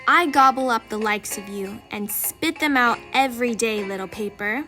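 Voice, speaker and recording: A woman's voice: a little high, moderate pace, music in the background, lightly acted. A woman voicing a big, boastful printer monster with a menacing manner in a children's storybook.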